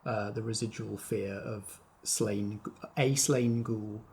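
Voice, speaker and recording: A man narrating a tabletop roleplaying game as game master, in a measured, descriptive storytelling manner. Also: on the low side, fairly even intonation, vocal fry, a little mellow, slight background noise. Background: faint background music.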